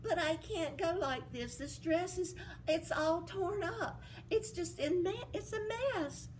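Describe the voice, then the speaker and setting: A woman voicing Cinderella, a sweet, excitable young woman, in a breathless, eager voice. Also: very high-pitched, slow pace, recorded from a distance, slight background noise, pitched above the performer's own voice. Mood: worried.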